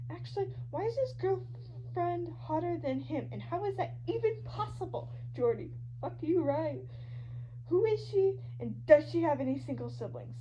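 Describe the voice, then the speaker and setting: High-voiced woman reading over loud hum. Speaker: podfic reader. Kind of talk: expressive fan fiction reading of social media posts. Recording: dull lo-fi audio with loud hum. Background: loud hum and noise.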